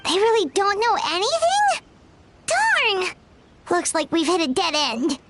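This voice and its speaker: A tiny, excitable fairy companion with a very high, squeaky, shrill voice that swoops wildly up and down. She chatters in bursts and exclaims constantly, with game music clearly playing behind her. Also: heavily acted. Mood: disappointed. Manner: bouncy, exclamatory, quick to complain. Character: small floating female fairy companion, excitable.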